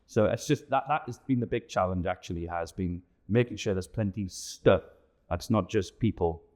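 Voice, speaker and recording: A man's voice: low-pitched, normal speed, darkish tone. A man chatting informally and enthusiastically in an interview as a theatre director. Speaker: pantomime director and producer being interviewed. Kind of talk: casual interview chat.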